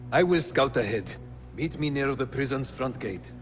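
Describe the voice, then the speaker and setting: A man acts out a stoic, wistful scout for a game cutscene. He speaks formally and deliberately, like a thinker, in a medium register. Music is prominent behind him. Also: medium pitch, expressive, close to an everyday voice. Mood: advising. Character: reflective, stoic male rebel scout, wistful and philosophical. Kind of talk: video game cutscene acting.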